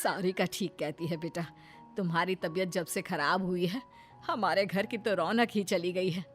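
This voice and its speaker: A woman's voice: fairly low pitch, steady tone, normal speed, close to an everyday voice. A fussing middle-aged mother-in-law from a cartoon story, voiced by a woman, doting and a little possessive. Faint music plays behind.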